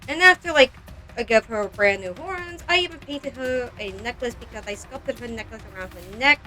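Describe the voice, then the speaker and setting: A woman's voice: a little high, expressive, normal speed, sharp tone, faint music behind. A woman narrating a casual craft vlog in a rambling, self-deprecating and exclamatory way, as the creator of doll customization videos.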